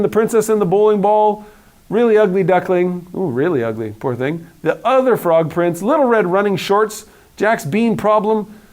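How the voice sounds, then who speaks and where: A man's voice: high voice, a little mellow. A man in his forties reading a storybook aloud with playful, theatrical phrasing and casual asides. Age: 40s.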